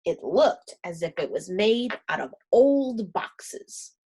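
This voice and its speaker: A woman reading a children's storybook aloud, gentle and expressive. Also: mid-range voice, slow, slightly rough.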